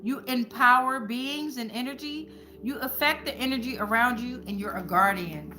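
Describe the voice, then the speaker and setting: A woman with a bright, forceful, slightly high voice hosts an informal tarot reading. She talks to viewers in colloquial, salty language and repeats phrases like incantations. Soft music plays behind her. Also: normal speed. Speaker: tarot reader and channel host.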